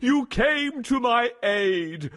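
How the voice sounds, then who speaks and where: A proud, mighty lion king from a storybook, as a male narrator puts him on. He booms his lines out slowly and theatrically, in a high, bright, forceful voice that swoops up and down. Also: animated, heavily acted. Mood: lofty. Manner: booming, theatrical roars.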